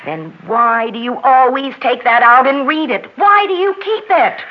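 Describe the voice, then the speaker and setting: Embittered, argumentative wife, sarcastic and animated, vintage radio sound. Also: female voice, high-pitched, moderate pace. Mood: bitter. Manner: sharp, reproachful, sarcastic. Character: bitter, accusing, argumentative unhappy wife. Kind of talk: 1960s radio drama acting. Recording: dull 1960s radio recording.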